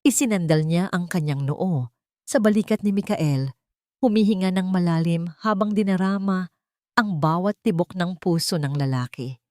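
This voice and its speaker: A woman's voice: on the low side, moderate pace, darkish tone, no echo, clean studio sound. A woman with a Filipino accent reading a dramatic romance story as a scripted narration.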